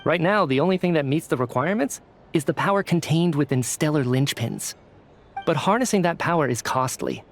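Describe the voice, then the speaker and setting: Weary, affable, lively-pitched researcher. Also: male voice, fairly quick, faint music behind. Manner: polite, hesitant, sighing, expressive. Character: Mitya, weary, affable male government energy researcher. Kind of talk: video game voice acting.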